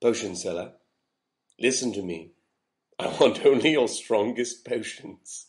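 A man's voice: fairly low pitch, unhurried, lightly acted. A man voicing a desperate, insistent knight in a comic cartoon sketch, pleading and indignant.

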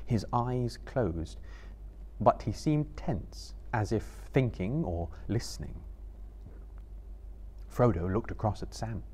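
A man in his thirties reading a novel aloud dramatically, with measured, suspenseful delivery. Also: lowish voice, darkish tone. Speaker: storyteller. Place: small book-lined stage before a live audience, indoors.

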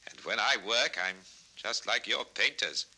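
An impassioned composer in a children's audio drama. His deep-set, forceful voice moves slowly and deliberately, swinging widely in pitch as he rhapsodizes theatrically. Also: big pitch swings, husky, exaggerated voice, rough recording. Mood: dreamy, rapt. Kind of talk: 1960s children's record radio-play acting.

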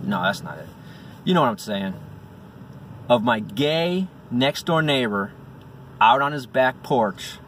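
A man's voice: medium pitch, a bit bright. A middle-aged man talking casually and bluntly as an amateur comedian, with profane asides. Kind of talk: casual vlog with stand-up bits. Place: inside a parked vehicle. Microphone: phone or camera at arm's length. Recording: in-car, distant mic, faint noise.

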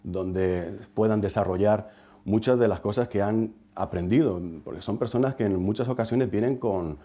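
A middle-aged man with a fairly low, warm voice gives his view calmly and thoughtfully. He weighs his words as he talks. The interview was recorded indoors. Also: normal speed. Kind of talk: interview comment.

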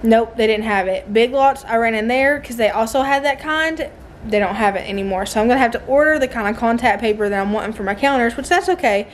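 A woman's voice: a little high, a little hiss or hum. A young woman with a Southern American accent, a homeschooling mom chatting casually and animatedly in her home vlog, filmed on a phone at arm's length. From southern United States. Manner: chatty, animated, laughs often. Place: car, then living room of a mobile home. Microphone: phone held at arm's length.

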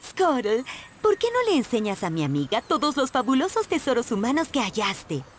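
An eager teenage mermaid princess in an animated game. Her voice is high and very lively, dreamy and bubbly, with some faint noise behind it. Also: measured pace. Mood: delighted. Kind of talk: animated video game voice acting.

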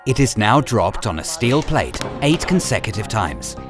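A man reading a scripted training film narration in a measured, instructional manner over music. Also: fairly low pitch, sing-song.

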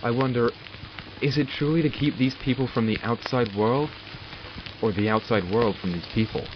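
A man playing a curious, wry doctor in an audio drama, dictating log entries in a measured, formal, dryly sardonic way. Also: on the low side, noisy background, close to an everyday voice. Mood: lonely, brooding. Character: male doctor logging studies of supernatural captives, curious and wry. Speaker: voice actor. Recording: dull lo-fi recording with music behind. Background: music.

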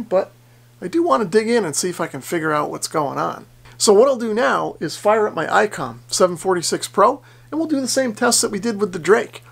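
American man with a gravelly creak. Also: sits high. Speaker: ham radio vlogger. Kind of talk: casual explainer to camera. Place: basement radio workshop indoors.

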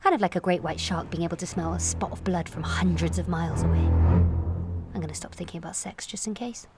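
A woman in her late twenties performing a confessional comic monologue in a candid, wry, self-questioning way, moving between confiding and animated. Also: on the low side, fairly quick, over loud music. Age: late 20s. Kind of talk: confessional comic audio monologue, present-tense narration.

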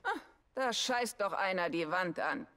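A woman's voice: mid-range voice, animated, unhurried, a bit bright. A woman playing a brash working-class Victorian character in a video game, blunt, saucy and theatrical. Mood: cheeky. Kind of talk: German video game dub acting.

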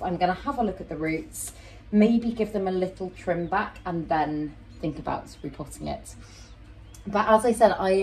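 Chatty woman with a deep, low voice. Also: a little mellow, poor audio. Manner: chatty, rambling, self-interrupting; handling soil and roots while talking to camera. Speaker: houseplant channel host. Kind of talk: casual chat while repotting a plant. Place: small home kitchen indoors. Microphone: fixed camera at medium distance.

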